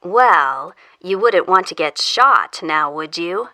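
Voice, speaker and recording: A composed woman captor in an audio horror story, played by an actress. Her voice is bright and crisp-edged, and she takes her time, politely stretching words with quiet menace. Her pitch rises and falls freely. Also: lively intonation, takes it slowly, full character voice. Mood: wry, controlled. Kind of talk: audio fiction acting.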